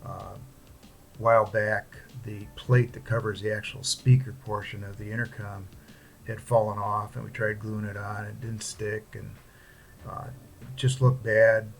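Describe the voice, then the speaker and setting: A man talking casually through a hobby project without a script, in a halting, plain-spoken way. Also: on the low side, little pitch movement, vocal fry, faint music behind. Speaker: hobbyist presenter. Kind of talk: casual unscripted project walkthrough.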